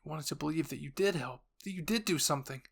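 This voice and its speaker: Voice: medium pitch, quick pace, creaky, slightly rough. Audio roleplay narrator, middle-register voice, scripted storytelling. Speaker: narrator and voice performer. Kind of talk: scripted fan-fiction narration.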